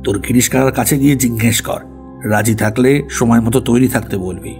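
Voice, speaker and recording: A man's voice: mid-range voice, fairly even intonation, brisk, a little mellow, faint music behind, lightly acted. A man playing a practical, reassuring middle-aged village father in an audio story. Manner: matter-of-fact, instructing. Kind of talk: Bengali audio story character dialogue.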